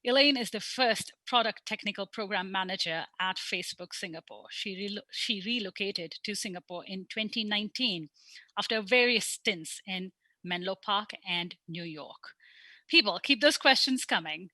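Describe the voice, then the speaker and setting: Bright, crisp woman introducing speakers. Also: mid-range voice, moderate pace, no room sound. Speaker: event host. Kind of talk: scripted introductions.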